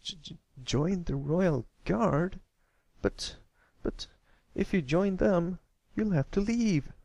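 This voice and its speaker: Man doing a timid high-voiced little colt. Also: expressive, slow pace, vocal fry, darkish tone, no room sound, full character voice. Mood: anxious. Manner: hesitant, stammering. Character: timid, sad young colt pony, little brother.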